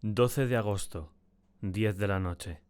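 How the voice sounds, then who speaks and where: A man acting a tormented, terrified diarist in a dramatic first-person audiobook narration, with a solemn and deliberate, theatrical delivery. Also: on the low side, darkish tone, clean tone. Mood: anguished, subdued. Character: tormented, terrified man confiding in his diary.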